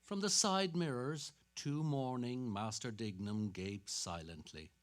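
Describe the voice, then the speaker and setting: A man's voice: medium pitch, measured pace. A man narrating literary fiction as a dramatic reading.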